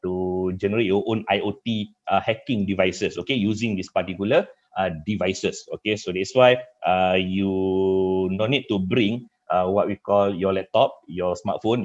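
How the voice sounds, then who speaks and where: A man with a Malaysian accent, a native Malay speaker, working as a trainer and giving a halting live software demonstration in a webinar, often repeating filler phrases. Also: deep voice. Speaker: cybersecurity trainer. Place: online webinar with screen share. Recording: dull, muffled webinar audio.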